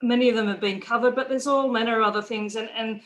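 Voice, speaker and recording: A woman's voice: a little high, fairly even intonation, fast talker, fairly bright tone. Earnest woman candidate. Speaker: council candidate. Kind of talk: unscripted town hall answer.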